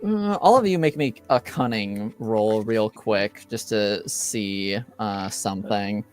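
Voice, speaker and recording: A man improvising the narration of a tabletop game as game master, halting and searching for words. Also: on the low side, darkish tone.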